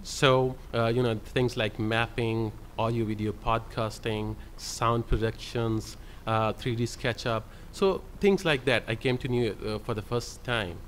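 A man's voice: mid-range voice, measured pace, few pauses, a little hiss or hum. A man speaking on a conference panel as a librarian, hesitant with many fillers. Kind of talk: panel presentation. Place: conference hall panel table. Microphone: table microphone.

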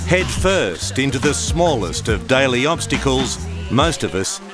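Man, TV reporter, scripted voice-over, measured, music under. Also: medium pitch, sing-song. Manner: measured, polished. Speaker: reporter, narrator. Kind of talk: scripted TV narration.

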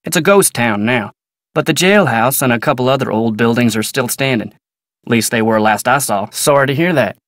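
A man acting as a plain-spoken American cowboy foreman from a video game. He is polite but guarded, talking in folksy, clipped phrases at a middling pitch, recorded very dry. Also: a little fast, fairly bright tone, no room sound, natural voice. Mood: helpful, matter-of-fact. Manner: folksy, clipped, matter-of-fact. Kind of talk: naturalistic video game character dialogue.

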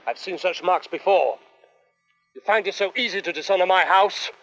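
A man's voice: high-pitched, normal speed, fairly bright tone, low-quality recording. An actor playing a stern, domineering Puritan father in a period film, commanding and archaic in speech. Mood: angry. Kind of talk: period drama film acting. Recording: old educational film soundtrack with music.

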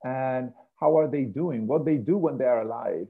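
Gravelly Italian man lecturing over a thin line. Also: mid-range voice, moderate pace. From Italy, Rome. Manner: hesitant, many fillers and restarts. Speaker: marine biology professor. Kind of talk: online lecture. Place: home room with a piano. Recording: gravelly, tinny video call audio.

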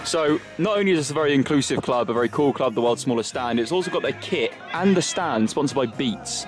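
A man's voice: medium pitch, quick pace. A man, chatting and joking as he hosts a vlog from a small outdoor football stand. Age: 20s. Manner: jokey, animated. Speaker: vlog host, football fan. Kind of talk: casual chat and banter at a football match. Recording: loud music over the voice.

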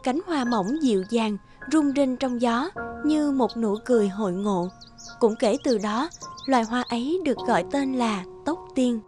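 A woman tells a scripted tale as narrator. Her voice is very high, and clear music plays behind her. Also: moderate pace, a little mellow.

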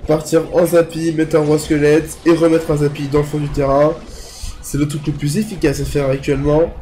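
A man's voice: a little high, warm tone, a little hiss or hum. A young man, congested from a cold so he sounds nasal, casually commentating his own mobile gameplay as he thinks aloud. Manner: chatty, thinks aloud while playing. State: congested, talking through the nose from a cold. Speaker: gaming youtuber.

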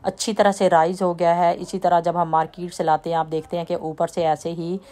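A woman with a slight lilt, chatting in a relaxed way as she walks through a home baking recipe step by step. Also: lowish voice, a little fast. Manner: relaxed, chatty, trails off softly at sentence ends. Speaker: home cooking vlogger. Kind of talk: casual step-by-step baking talk.

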